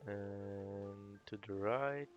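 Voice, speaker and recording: A man's voice: fairly low pitch, very slow, lo-fi sound. A man doing casual let's play commentary, murmuring short remarks as he plays. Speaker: gaming video host. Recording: faint game music behind the voice.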